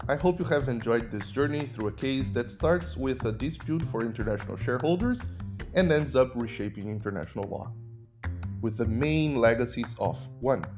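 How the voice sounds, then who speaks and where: A man with a Brazilian accent, a native Portuguese speaker, hosting a podcast and explaining legal ideas in measured, teacherly narration. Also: medium pitch, animated, music in the background. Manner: measured, didactic. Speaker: podcast host and human rights lawyer.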